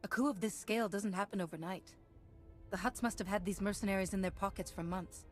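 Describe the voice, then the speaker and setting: A woman voices a principled Jedi heroine for a game cutscene. Her medium-pitched delivery is measured and assured, carrying quiet authority, with faint music underneath. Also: steady tone, close to an everyday voice. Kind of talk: video game cutscene acting.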